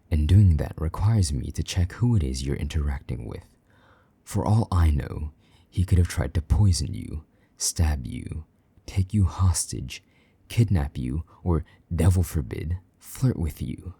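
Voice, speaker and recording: A possessive male vampire knight, acted by a man for intimate audio roleplay. The voice is rock-bottom deep and very mellow, with a breathy husk that drifts toward whispering. He speaks with condescending, jealous menace, close and dry. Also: no echo, exaggerated voice. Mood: jealous, contemptuous. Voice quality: husky, breathy, half-whispered at times. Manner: condescending, slow-burning menace, mock-sweet endearments.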